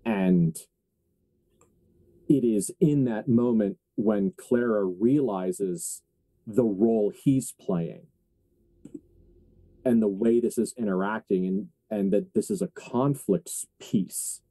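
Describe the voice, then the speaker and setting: A man acting as game master, narrating a tabletop horror roleplaying game in deliberate, halting phrases. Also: on the low side, dark tone, dry recording, rough recording.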